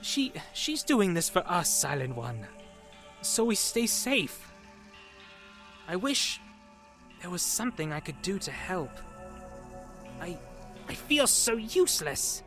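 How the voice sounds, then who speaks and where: Guy playing a worried gentle young man, highish lively voice, earnest, hesitant. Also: slow pace, long pauses, faint music behind, heavily acted. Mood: anxious, helpless. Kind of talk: visual-novel audio drama.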